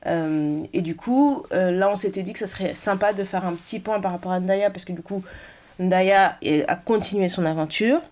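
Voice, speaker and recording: A woman's voice: medium pitch, normal speed, a little mellow. A woman hosting a podcast, introducing her guest in a friendly, chatty way with frequent fillers. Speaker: podcast host. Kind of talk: casual podcast intro and questions.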